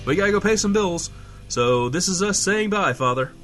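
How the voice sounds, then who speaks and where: Radio comedy host played by a man: courteous but confused, crisp-edged highish voice with big pitch swings. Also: lively intonation, normal speed, fairly bright tone, faint music behind. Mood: polite. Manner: hesitant, bemused. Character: baffled, polite male small-town radio host. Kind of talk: comedic radio-play interview.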